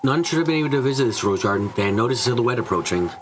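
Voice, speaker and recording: A man's voice: medium pitch, animated, very fast, noisy background. A man reading scripted visual novel narration as the storyteller.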